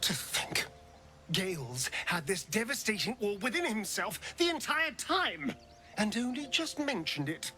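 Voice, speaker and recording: A man's voice: high-pitched, very animated, measured pace, sharp tone, faint music behind, heavily acted. An actor voicing a sly, flippant male vampire rogue in a video game, arch, flamboyant and full of mock innocence. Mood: mock outrage. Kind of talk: video game character acting.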